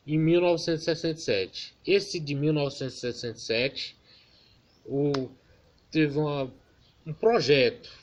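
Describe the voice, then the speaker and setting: A man from Maranhão, giving an informal online geography class and correcting himself as he goes. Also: on the high side. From Maranhão, Brazil. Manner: informal, addresses class as "galera", self-correcting. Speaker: student teacher.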